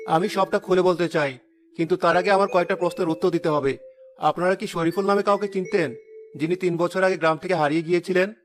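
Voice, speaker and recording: A man's voice: high-pitched, fairly even intonation, fast, faint music behind, lightly acted. A man playing an earnest young stranger seeking justice in a cartoon, persuasive and moralizing. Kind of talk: cartoon voice acting.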